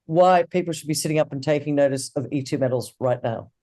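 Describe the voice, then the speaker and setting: A man's voice: fairly high pitch, quick pace, mellow. A man hosting a business interview, losing his voice, with an upbeat, promotional delivery. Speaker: interview host.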